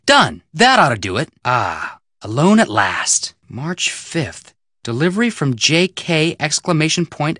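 A man's voice: mid-range voice, fairly bright tone, no room sound, studio quality, exaggerated voice. A man playing an upbeat, curious young American amateur detective in a video game, breezy, teasing and casual. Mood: self-talk.

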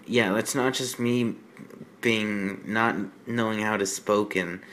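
A man hosting a fan fiction reading, giving mocking off-the-cuff commentary in an exasperated, incredulous, halting manner with stammered repeats. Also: on the low side, steady tone, a bit bright, slight background noise.

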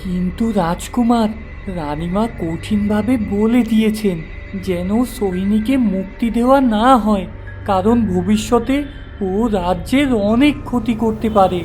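A woman's voice: medium pitch, a little slow, warm tone, close to an everyday voice. A woman voicing an obedient palace guard in a fairy-tale cartoon, respectful and cautious. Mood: cautious objection. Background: background music.

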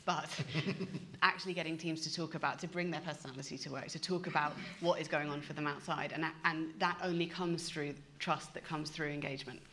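A woman's voice: low-pitched, steady tone, a little fast, a bit bright. A woman in her thirties speaking animatedly as a panelist and business founder, gesturing as she talks into a lapel mic in a university event hall. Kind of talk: panel discussion.

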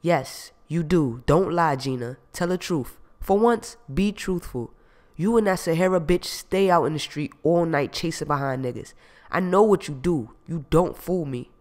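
A man reading an audiobook, voicing a jealous, possessive ex-boyfriend who accuses and shouts. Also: fairly high pitch, normal speed, lightly acted. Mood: furious. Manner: loud, accusing, streetwise.

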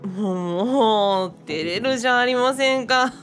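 A woman's voice: fairly high pitch, a little slow, soft background music. A woman playing a courteous, level-headed and slightly bashful young female assistant in a radio drama. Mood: friendly, animated. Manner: courteous, animated.

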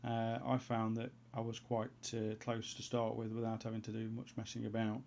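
Man, hobbyist tutorial voice-over, very even pitch, gravelly fry, hesitant, unscripted, lo-fi muffled sound. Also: slight background noise. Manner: hesitant, halting, thinking aloud. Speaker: hobbyist presenter of a homebrew antenna tutorial. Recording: dull, lo-fi sound.

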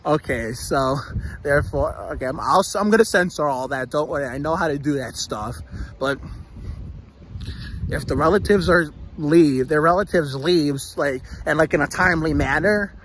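A young man chatting casually and excitably, swearing freely, into a phone at arm's length while vlogging outside. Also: fairly high pitch, normal speed, low-quality recording. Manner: excitable, profanity-laden. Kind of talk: casual self-filmed vlog chat. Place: outdoors on a suburban street. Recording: outdoor street noise, passing vehicles.

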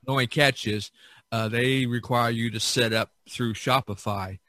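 Male podcast host, bright forceful tone, very dry close sound, casual rambling storyteller. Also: mid-range voice, fairly even intonation, normal speed, no room sound. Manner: rambling, repeats and circles back. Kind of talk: casual chat, reminiscing.

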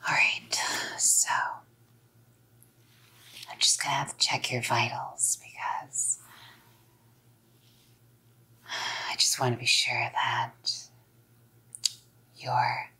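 Slow, breathy whispering young woman. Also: extremely low pitch. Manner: slow, coaxing, softly commanding. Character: possessive psycho girlfriend playing nurse. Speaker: ASMR role-play performer. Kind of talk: whispered ASMR role-play. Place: small dim indoor room. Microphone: close microphone.

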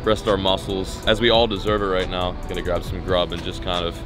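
A young man hosting a fishing vlog outdoors on a boat, talking casually with lots of slang and excitement. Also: low-pitched, fairly quick, music in the background. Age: young adult. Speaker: fishing vlogger.

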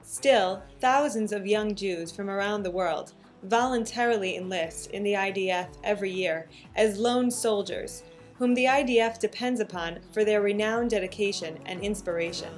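A woman narrates a documentary in a steady, earnest voice at a mid pitch. Her reading is measured and scripted. Clear music plays beneath her.

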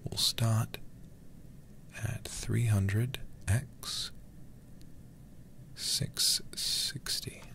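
A man with a very low, gravelly voice streams a game in ASMR style. He murmurs close to the mic and often slips into a breathy whisper, muttering to himself as he plays. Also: fairly even intonation, drawn-out words, pauses often. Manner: murmuring to himself, mild swearing. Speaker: livestreamer. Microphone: close ASMR microphone.

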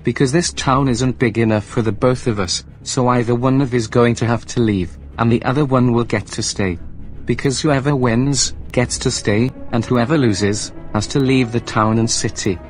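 A man's voice: mid-range voice, normal speed, close to an everyday voice, poor audio. A man playing a foul-mouthed evil villain. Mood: threatening. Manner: rude, cursing, taunting. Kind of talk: amateur voice drama. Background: music.

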